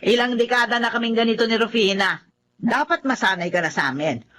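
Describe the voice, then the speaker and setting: A woman's voice: on the high side, fairly quick, a bit bright, close to an everyday voice. A woman playing a bitter, stubborn older woman in a drama, who speaks in an indignant, dramatic way about an old grudge.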